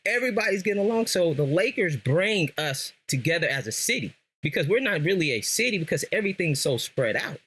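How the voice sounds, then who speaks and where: A man's voice: sits high, sing-song, brisk, hardly any pauses, fairly bright tone, slightly rough. A man from Los Angeles with an American accent, talking casually as a sports fan guest on a podcast, telling stories in slang and repeating phrases for emphasis. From Los Angeles, Southern California. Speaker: guest, lifelong Lakers fan.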